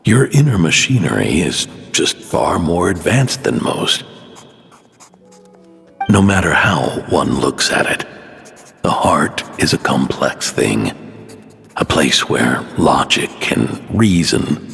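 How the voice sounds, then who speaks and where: A man playing a gentle, wise scholar in a video game, speaking in a measured, solemn, reflective way with a low, creaky voice. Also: big pitch swings, heavily acted. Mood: gentle, explanatory. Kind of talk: scripted dramatic game monologue. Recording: long hall reverb over music.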